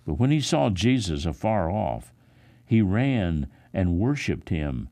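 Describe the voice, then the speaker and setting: A man, a pastor, reading the Bible aloud in a solemn, dramatic style with measured, expressive emphasis. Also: sits low.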